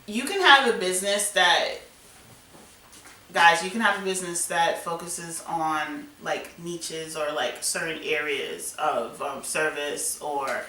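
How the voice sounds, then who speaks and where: A woman's voice: lowish voice, pushed and bright. Chatty woman host in an echoey room. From United States. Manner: chatty, upbeat, quick to laugh. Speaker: interview host. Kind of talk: casual interview. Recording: echoey room, distant mic, faint noise.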